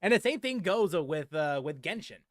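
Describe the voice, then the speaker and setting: A man's voice: a little high, sing-song, normal speed, no room sound, studio quality. A man streaming casual game chat, rambling and often repeating his phrases for emphasis. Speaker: gaming streamer answering viewers. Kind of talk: casual livestream chat about games.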